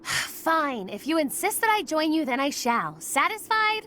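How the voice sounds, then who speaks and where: A woman's voice: high-pitched, sing-song, measured pace, pushed and bright, a little hiss or hum, full character voice. A woman playing a formal, self-abasing young noblewoman mage in a video game, with ornate, apologetic phrasing. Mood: haughty, irritated. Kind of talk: English video game voice acting.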